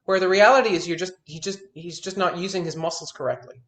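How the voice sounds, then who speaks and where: 30s guy teaching guitar over a call, bit high voice, keeps repeating himself to stress stuff, echoey room. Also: steady tone, quick pace. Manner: emphatic, repeats words as he restarts, frank. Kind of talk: one-on-one lesson consultation.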